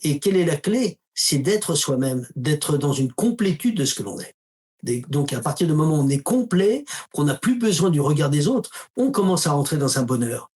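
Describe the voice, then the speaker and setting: A man's voice: a little high, sing-song, moderate pace, darkish tone. A man giving an interview as a spiritual teacher, talking casually and telling stories with emphatic asides. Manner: storytelling, acts out quoted dialogue with emphatic asides; uses informal tu to the listener.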